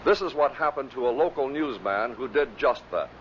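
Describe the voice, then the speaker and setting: A man narrates from a script in a medium-pitched voice. The old recording is dull, with faint noise beneath his words. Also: animated, normal speed. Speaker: narrator introducing radio bloopers.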